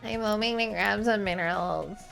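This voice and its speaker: A woman's voice: mid-range voice, moderate pace, vocal fry, a bit bright. Rambling young woman streamer, game music behind. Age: 20s. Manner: rambling, joking, talks to chat. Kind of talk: casual gameplay commentary.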